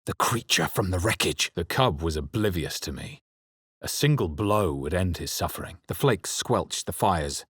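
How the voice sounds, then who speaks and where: Low, husky, brooding fantasy adventurer. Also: male voice, lively intonation, moderate pace, a bit bright, no echo, clean studio sound, full character voice. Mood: grave, reflective. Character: brooding, wary male fantasy adventurer, weathered hero. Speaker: video game voice actor. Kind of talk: dramatic fantasy game narration and character lines.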